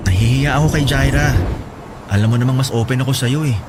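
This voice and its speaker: A man's voice: mid-range voice, fast, close to an everyday voice. An actor playing a heartbroken, confused young man who talks in a pleading, distraught way in a radio drama, with music behind. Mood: despairing.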